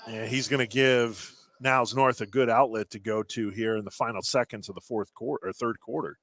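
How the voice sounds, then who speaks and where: A man's voice: mid-range voice, fairly even intonation, brisk, fairly bright tone. A man working as a color commentator, giving conversational analysis during a live basketball broadcast.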